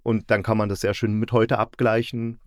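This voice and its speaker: Male podcast host, lowish voice, relaxed review conversation, very dry close recording. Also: normal speed, dry recording. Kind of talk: casual chat, radio play review.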